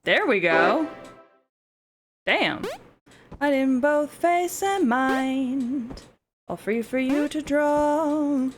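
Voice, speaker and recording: A young woman with a deep, smoky voice, chatting and cursing casually while streaming a game. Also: lively intonation, extremely slow, long pauses. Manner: crude, swears, laughs, sings along. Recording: faint music behind.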